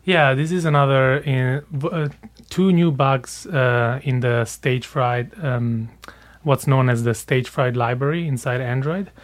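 A man's voice: medium pitch, steady tone, a little slow. A man, a security reporter, explaining a topic informally with fillers. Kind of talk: explaining in conversation.

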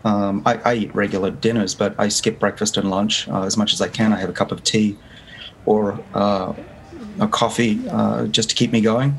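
Australian man in his fifties, lowish voice, earnest explaining, slight noise. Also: little pitch movement, moderate pace, vocal fry. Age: 50s. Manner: earnest, explanatory, frequent restarts. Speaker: guest researcher. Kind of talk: remote interview. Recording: faint background noise.

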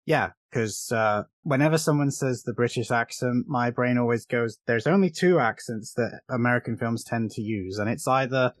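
Male podcast co-host, mid-pitched, casual and opinionated, dry studio-close sound. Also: moderate pace, few pauses, no room sound. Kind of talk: casual film discussion.

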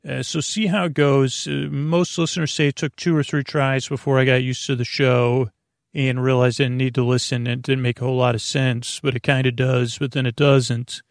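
A man hosting a sleep podcast who rambles off topic in soft bedtime chat, full of hesitant fillers. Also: medium pitch, flat delivery, normal speed, creaky, dry recording, clean studio sound.

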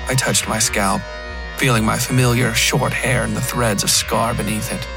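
A man's voice: fairly low pitch, steady tone, normal speed, vocal fry. A man reading scripted first-person fiction as the narrator of a horror podcast. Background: guitar music.

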